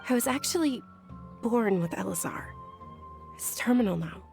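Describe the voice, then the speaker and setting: A woman playing a gentle, frail young noblewoman in a video game, speaking softly and politely with earnest, measured delivery. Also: fairly high pitch, animated, creaky, music in the background. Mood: calm, resigned.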